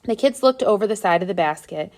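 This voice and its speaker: A woman's voice: medium pitch, animated, a little fast, a little mellow. A woman reading a children's adventure story aloud as scripted narration. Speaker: storybook reader.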